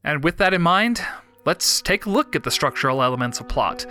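A young man hosting a writing podcast, reading a prepared talk in a measured, reflective way while stuffy from a head cold. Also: a little high, lively intonation, music in the background. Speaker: podcast host.

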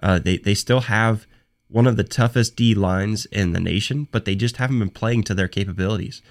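A young man with a fairly low voice hosts a sports talk show on his own. He is hoarse from a cold and his voice is fading. He talks off the cuff in halting bursts, restarting phrases and doubling words. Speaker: podcast host. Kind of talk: solo sports talk podcast.

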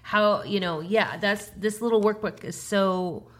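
A woman's voice: mid-range voice, unhurried. A woman in her fifties hosting a podcast, talking in a chatty, agreeable way with many fillers. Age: 50s. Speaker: podcast host. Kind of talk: casual chat.

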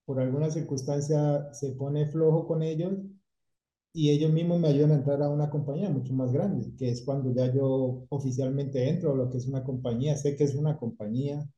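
A middle-aged man from Colombia, a painting company owner, telling his story in a relaxed casual chat and dropping English words into his Spanish. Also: mid-range voice, fairly quick. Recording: echoey room, dull lo-fi sound.

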